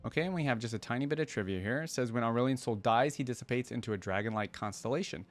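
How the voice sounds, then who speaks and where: A man hosting a reaction video in an informal way, reading text aloud and thinking out loud about it. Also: medium pitch, quick pace. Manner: informal, thinking aloud between read passages. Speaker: reaction channel host.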